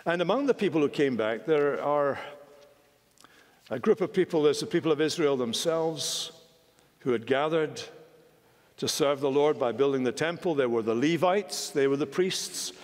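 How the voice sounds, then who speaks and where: A man in his sixties preaching a sermon from a pulpit in a large wood-panelled church, emphatic and measured, with wide swings of pitch. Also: expressive. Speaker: senior minister preaching. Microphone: pulpit microphone. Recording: long church hall reverb.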